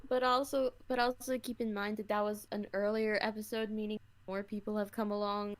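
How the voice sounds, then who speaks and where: A woman's voice: mid-range voice, flat delivery, a little fast. A woman co-hosting a podcast in casual, conversational talk. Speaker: podcast co-host. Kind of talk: casual chat.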